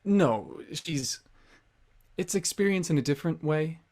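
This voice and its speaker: A man's voice: on the high side, expressive, unhurried, a little mellow. An actor playing a young playwright who earnestly explains his intentions with hedging phrases. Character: defensive young male playwright. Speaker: writer acting in his own radio play. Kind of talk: audio drama acting.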